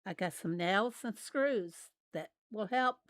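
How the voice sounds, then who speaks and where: A woman with a raspy voice, chatting casually with viewers while she plays a farming game. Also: medium pitch, expressive, no echo. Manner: unhurried, chatty, reads out and answers viewer messages. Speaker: game livestreamer. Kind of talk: casual livestream chat while playing.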